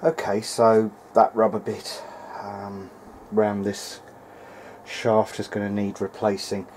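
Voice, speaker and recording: A man's voice: on the low side, monotone, takes it slowly, darkish tone, a little hiss or hum. A man thinking aloud in a hesitant way as he gives casual hands-on repair commentary at an indoor workbench. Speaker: hobbyist repairer presenting a video diary.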